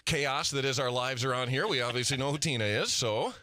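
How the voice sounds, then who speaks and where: A man's voice: mid-range voice, a little fast, bright, forceful tone, no room sound, clean studio sound. Upbeat male radio host. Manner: chatty, upbeat. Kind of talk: radio interview. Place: radio studio.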